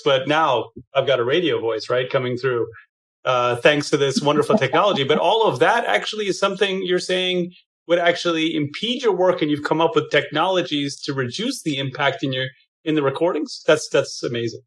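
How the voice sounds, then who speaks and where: A man hosts a tech podcast with chatty enthusiasm, reacting eagerly to his guest. His voice sits a bit on the higher side and comes through a processed studio microphone. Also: fairly quick, a bit bright. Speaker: podcast host. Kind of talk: interview questions, casual. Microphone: studio mic through processor.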